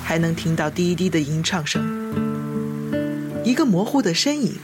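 A woman's voice: lowish voice, animated, normal speed. A woman narrating a scripted radio drama in a lyrical storytelling manner over music. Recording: loud guitar music behind the voice.

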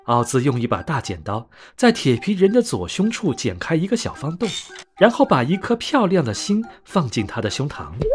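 A man narrating a scripted bedtime story for children in an expressive, clearly phrased way. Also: a little high, normal speed. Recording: soft music under narration.

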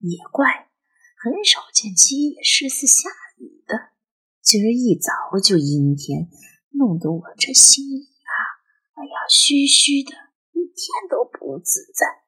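A woman voicing an elderly grandmother in an audio drama, wistful and superstitious, reminiscing with drawn-out sighs in a husky voice. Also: fairly high pitch, very animated, sharp tone, exaggerated voice, poor audio. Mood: uneasy. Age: older. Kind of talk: Chinese audio drama dialogue.

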